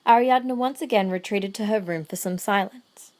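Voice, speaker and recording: A woman's voice: medium pitch, normal speed, darkish tone. A woman reading scripted third-person narration for an amateur audio drama.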